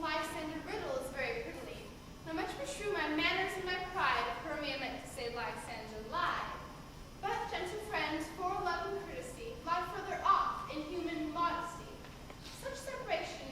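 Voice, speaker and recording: An actress playing a modest, gentle young woman in love, speaking verse with care on a stage, recorded from far off in an echoey hall. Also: very high-pitched, lively intonation, normal speed, noisy background. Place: theatre stage.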